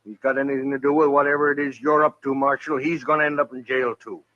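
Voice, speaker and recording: A man's voice: medium pitch, level tone, a bit bright, lightly acted. An actor playing a blunt, hot-headed, grumbling cattleman in a 1950s western radio drama. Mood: indignant. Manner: blunt, grumbling, quick to threaten. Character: hot-headed, stubborn cattleman turned grudging hotel owner. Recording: dull vintage radio recording.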